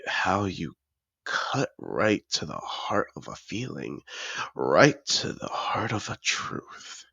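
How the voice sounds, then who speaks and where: A man playing a cultured, possessive dragon disguised as a human, effusive and refined, in a gravelly-voiced audio roleplay addressed to the listener. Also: rumbling low, bright, forceful tone, no echo, full character voice. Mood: intrigued, flattering. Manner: refined, effusive, theatrical phrasing.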